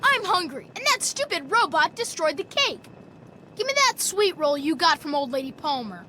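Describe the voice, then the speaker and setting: A woman's voice: extremely high pitch, very animated, moderate pace, bright, forceful tone, a little hiss or hum, heavily acted. A woman playing a bratty, greedy young boy bully, whiny and taunting, in a video game. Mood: aggressive, demanding.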